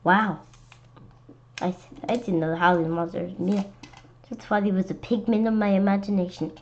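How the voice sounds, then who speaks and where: A woman reading a children's story aloud in an expressive, animated way, occasionally stumbling over words. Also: medium pitch, moderate pace. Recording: dull lo-fi sound with clear background noise.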